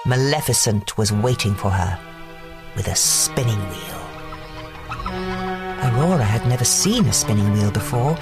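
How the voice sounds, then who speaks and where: A woman reads a bedtime story for children in a low voice. Her delivery is expressive and very animated, rising and falling freely. Music plays under her narration. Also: a little slow, long pauses. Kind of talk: scripted children's bedtime story read-aloud.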